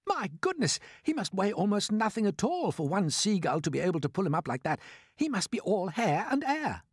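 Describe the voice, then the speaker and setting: A man voicing a plucky, excited young boy in a children's storybook. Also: sits high, takes it slowly, husky, dry recording, clean studio sound, pitched above the performer's own voice, heavily acted. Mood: amazed.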